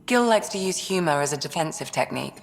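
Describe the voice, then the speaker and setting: An actress playing a calm, perceptive ship's doctor in a video game, with a measured, reassuring manner and a low, slightly gravelly voice. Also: female voice, long reverb tail, slight background noise. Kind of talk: video game dialogue acting.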